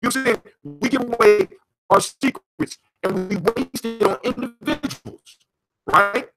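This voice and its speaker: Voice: mid-range voice, slow pace, fairly bright tone, faint music behind, rough recording. A host with an American accent, teaching in an impassioned, conversational way, repeating phrases for emphasis and checking in with the listener. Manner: emphatic, repeats phrases, checks in with "you know what I'm saying". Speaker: host of a call-in talk on folktales.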